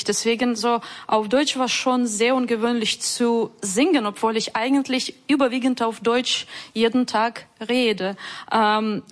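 A woman from Ukraine, a musician guest speaking German as an in an enthusiastic radio interview, with frequent fillers. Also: a little high. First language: not German.

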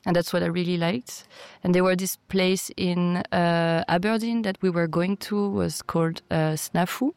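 Soft-voiced woman. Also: on the low side, steady tone, normal speed, clean tone, no echo. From France. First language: French. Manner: thoughtful, hesitant, with fillers and restarts. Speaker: music producer and DJ. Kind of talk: interview talk.

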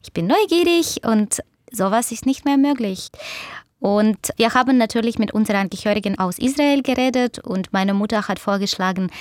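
A woman's voice: mid-range voice, dry recording, studio quality. A woman in her thirties from Ukraine, a native Russian speaker, speaking German thoughtfully as a radio interview guest. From Ukraine (Odessa). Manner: thoughtful, occasional laughter, non-native grammar slips. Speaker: author and doctor, interview guest. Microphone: studio microphone.

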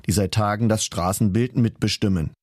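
A man's voice: lowish voice, brisk, a little mellow, no room sound, clean studio sound. A man reading a scripted news report as a reporter.

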